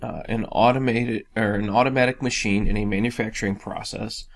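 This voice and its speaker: A young man with a cold, his voice hoarse and raspy, giving a casual statistics lecture full of ums and restarts. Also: lowish voice, fairly even intonation, fairly quick. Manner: informal, filler-heavy, many ums and restarts. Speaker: business statistics instructor.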